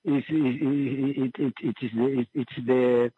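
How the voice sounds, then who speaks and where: Man, radio caller, slow halting delivery, polite, unscripted, dull lo-fi sound. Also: mid-range voice, steady tone, breathy edge. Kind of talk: unscripted call-in comment. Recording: dull lo-fi call-in audio.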